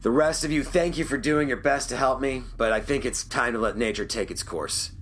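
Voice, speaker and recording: A man's voice: medium pitch, fairly quick, a bit bright, a little hiss or hum, lightly acted. A man playing an injured spaceship captain in an audio drama, resigned, wry and matter-of-fact. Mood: firm, resigned.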